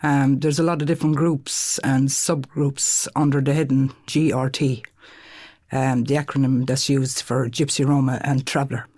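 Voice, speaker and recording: A woman, a podcast guest who heads a charity, speaking in a measured way with frequent pauses. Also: a bass voice, level tone, dark tone. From Ireland. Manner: measured, with many "um"s. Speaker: guest, chief officer of a charity. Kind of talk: casual interview chat. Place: podcast studio.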